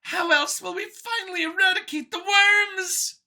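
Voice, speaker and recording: A woman's voice: extremely high pitch, steady tone, a little slow, pushed and bright, pitched above the performer's own voice, exaggerated voice. A woman voicing a nervous, boastful female alien scientist from an audio story, whiny and gushing as she justifies her elitist views. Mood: fervent, self-righteous. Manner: gushing, over-eager justifying.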